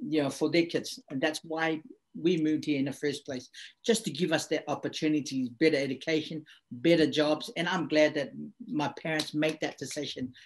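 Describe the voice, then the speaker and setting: A middle-aged man from Samoa speaks in an interview, with English as his second language. His voice sits a little high for a man, and he comes back to his points again and again, in a reflective, earnest way. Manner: reflective, repeats phrases for emphasis. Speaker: guest.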